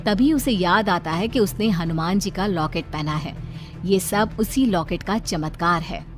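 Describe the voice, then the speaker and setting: A woman's voice: on the low side, measured pace. A woman narrating a cartoon story from a script. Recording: faint music behind.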